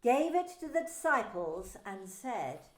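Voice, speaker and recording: A woman narrating a dramatised Bible story in scripted, expressive storytelling. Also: on the high side, measured pace. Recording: echoey room with faint music.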